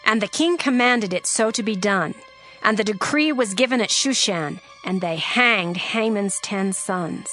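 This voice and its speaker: Woman narrating scripture over soft music. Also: medium pitch, measured pace. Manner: steady, formal reading.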